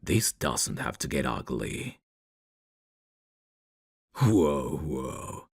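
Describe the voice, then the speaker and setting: Very deep, slow-talking mafia boss played by a man. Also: sing-song, vocal fry, slightly rough, dry recording, clean studio sound, exaggerated voice. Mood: teasing, flirtatious. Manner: slow, deliberate, taunting. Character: menacing, teasing, flirtatious male mafia boss. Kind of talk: ASMR roleplay audio drama.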